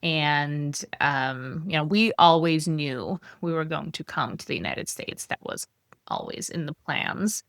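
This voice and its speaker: Low, gravelly woman from Russia, coach as interview guest, dry close sound, chatty. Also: very animated, measured pace, dry recording. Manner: conversational with fillers and restarts. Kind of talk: casual interview storytelling.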